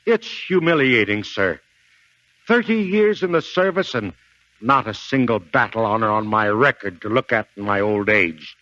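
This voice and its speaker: An actor playing a gruff, blustering middle-aged American Marine sergeant in a 1940s radio drama, with folksy, emphatic delivery. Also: male voice, on the low side, expressive, normal speed, pushed and bright, no room sound. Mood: pleading. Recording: dull 1940s radio broadcast recording.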